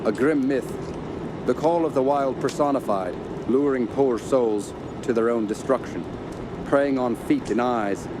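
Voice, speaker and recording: An actor playing a learned, middle-aged rationalist uncle in a radio horror drama, measured and lecturing, encouraging yet dismissive. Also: male voice, medium pitch, natural voice. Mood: ominous, lecturing. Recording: clearly noisy outdoor ambience.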